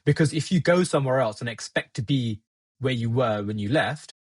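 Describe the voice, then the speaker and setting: Young man, first language Gujarati, from the UK; husky, close-miked, candid and self-deprecating. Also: mid-range voice, lively intonation, fairly quick, dry recording. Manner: reflective, laughs at himself, talks it through. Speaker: podcast guest. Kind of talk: casual conversation.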